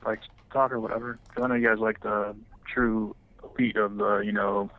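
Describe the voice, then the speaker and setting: A man's voice: on the low side, flat delivery, normal speed. A man calling in to a radio show, hesitant and full of fillers. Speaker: caller. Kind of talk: phone call-in question. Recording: dull dry phone line.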